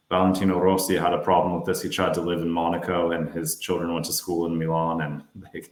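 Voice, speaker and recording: An American man in his thirties, a financial adviser giving a webinar presentation in a steady, explanatory way with some fillers. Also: low-pitched, roomy sound. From United States.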